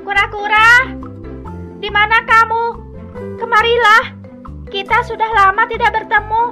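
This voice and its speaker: A woman voicing a sly, greedy, hot-tempered monkey in a children's fable, calling out loudly. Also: flat delivery, measured pace, faint music behind, exaggerated voice. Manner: loud, shrill calling out.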